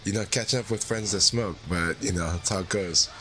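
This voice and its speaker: A man hosting a livestream in a relaxed, rambling way, his voice deeper than usual after secondhand smoke. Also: a little fast. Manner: relaxed, rambling, many fillers. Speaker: streamer. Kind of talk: casual livestream chat.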